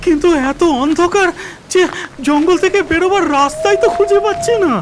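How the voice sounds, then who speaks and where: A man's voice: very high voice, brisk. A man voicing a fearful, urgent villager in a cartoon, sounding frightened. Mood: worried. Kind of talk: cartoon voice acting. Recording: noisy background ambience.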